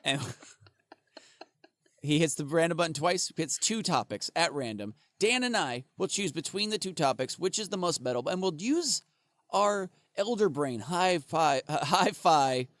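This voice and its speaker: Lively joking man on a dry mic. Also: a little high, a little slow, no echo. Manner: joking, laughs often, goofy riffs. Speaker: podcast co-host. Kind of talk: casual comedic banter.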